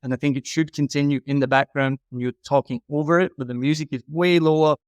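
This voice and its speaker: A man's voice: fairly high pitch, steady tone, fast, clean tone, no echo. A man giving frank, emphatic advice in a conversational critique, like an audio consultant reviewing someone's work.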